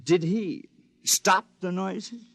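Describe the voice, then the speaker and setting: A scheming robot husband. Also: male voice, high-pitched, slow, fairly bright tone, exaggerated voice. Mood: scheming calm. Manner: smooth, falsely reassuring, then coldly threatening. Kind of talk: 1950s radio acting. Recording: dull 1950s radio recording.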